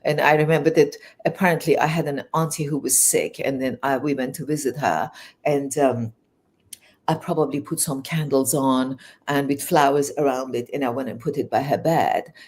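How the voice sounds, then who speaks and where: A woman's voice: extremely low pitch, moderate pace, breathy edge. Iranian woman with English-tinged accent. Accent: Iranian, learned English in England. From Iran. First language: Farsi. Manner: earnest, animated, with restarts. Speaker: guest. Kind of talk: personal storytelling interview.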